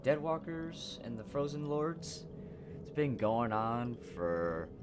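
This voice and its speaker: A man's voice: mid-range voice, sing-song, slow, noisy background. A man casually commenting on a game he plays, rambling with hesitant fillers and laughter, game music behind. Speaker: gameplay commentator. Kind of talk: casual let's play commentary.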